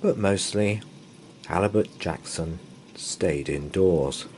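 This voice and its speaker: Slow, expressive low-voiced man reading a story. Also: a little hiss or hum. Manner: expressive, dramatic storytelling with drawn-out pauses. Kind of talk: read-aloud children's bedtime story. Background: open fire ambience.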